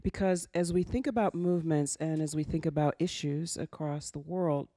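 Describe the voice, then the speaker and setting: A woman's voice: low-pitched, normal speed, warm tone, no echo. A woman moderating a conference panel, asking long, thoughtful framing questions.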